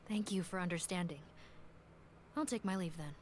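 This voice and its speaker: A woman voicing a serious, discreet officer in a video game, speaking in a formal, measured manner. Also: lowish voice, slight background noise, lightly acted. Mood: formal, polite. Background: music.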